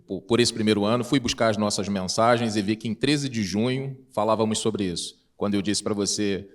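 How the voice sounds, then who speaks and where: A man with a Brazilian accent speaks on a conference panel with a somewhat low voice. He is polite and loud, talking close into a handheld microphone. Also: steady tone, fairly quick. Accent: Brazilian Portuguese. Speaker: association president, panelist. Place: embassy conference room.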